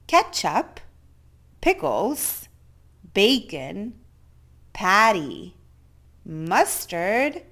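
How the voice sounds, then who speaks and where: A woman reading a children's picture book aloud as storyteller, theatrically, with drawn-out emphasis on key words. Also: medium pitch.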